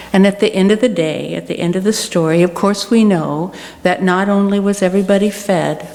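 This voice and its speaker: A woman giving a church reflection as a guest speaker, deliberate, with pauses and rhetorical repetition. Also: on the low side, creaky, darkish tone. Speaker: guest speaker for a food charity. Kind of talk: church reflection, delivered as a speech. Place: large church room. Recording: echoey hall with background noise and hum.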